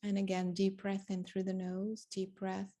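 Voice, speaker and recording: A woman leading a guided meditation, giving spoken instructions in deliberate short phrases with long pauses between them. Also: medium pitch, monotone, dark tone.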